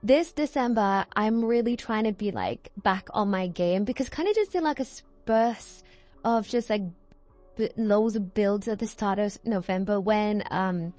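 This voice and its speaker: A woman's voice: mid-range voice, lively intonation, measured pace, a little mellow, faint music behind. A British woman in her early twenties chatting casually in a rambling, self-correcting way, as a video creator talking to viewers. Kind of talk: casual rambling chat over gameplay.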